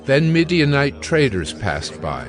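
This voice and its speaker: A man narrating scripture in a measured, solemn storytelling style. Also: medium pitch, sing-song, music in the background. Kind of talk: scripted dramatic Bible narration.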